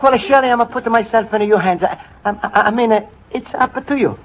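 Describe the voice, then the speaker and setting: A very high-voiced, flustered Italian immigrant man who stammers through broken English. The old broadcast sounds dull and carries a faint hiss. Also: fast talker. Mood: earnest, flustered. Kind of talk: 1940s radio comedy acting. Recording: dull old radio recording with faint noise.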